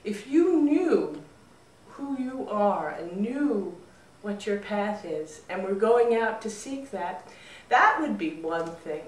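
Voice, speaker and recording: A woman storyteller voicing a wise, patient village healer who advises in a measured, soothing way. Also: medium pitch, very animated. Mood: patient, encouraging. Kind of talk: live storytelling. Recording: roomy echo, distant mic.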